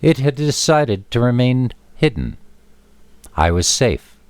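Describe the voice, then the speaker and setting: A man's voice: medium pitch, very animated, slow, no room sound. A man reading a scripted first-person scary story as a narrator.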